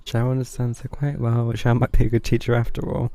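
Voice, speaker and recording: A man's voice: mid-range voice, little pitch movement, fast, warm tone, no room sound. A man giving casual, understated live commentary as he plays a game, often muttering. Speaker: game streamer. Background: game music.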